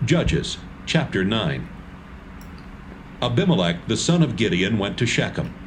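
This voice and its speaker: A man narrating a dramatised Bible reading in a steady, measured storytelling manner. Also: on the low side, slight background noise. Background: music score.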